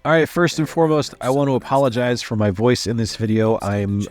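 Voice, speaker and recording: A man's voice: mid-range voice, fairly quick, darkish tone, soft background music. A man hosting a game review voice-over, congested from a sinus infection and talking with gushing enthusiasm. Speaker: game channel host.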